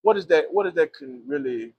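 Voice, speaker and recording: A man's voice: fairly high pitch, brisk, slightly rough, muffled recording. A man hosting a casual interview, chatty and encouraging, full of "come on now" affirmations. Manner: animated, Southern slang, frequent "come on now" affirmations. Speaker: podcast host.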